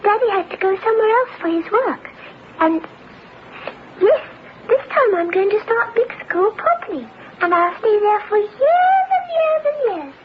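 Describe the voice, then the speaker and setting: Very high-pitched little girl. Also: expressive, normal speed. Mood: chatty. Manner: earnest, childlike, chattering. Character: chatty, innocent little girl of five. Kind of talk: radio drama acting. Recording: dull lo-fi radio recording, faint noise.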